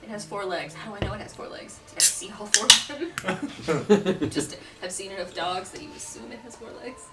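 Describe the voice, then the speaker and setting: A woman's voice: medium pitch, fast talker, over loud music. A woman playing a tabletop game, chatting and joking casually with the other players. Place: indoor room, around a home game table. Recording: roomy, distant mic, loud clattering noise.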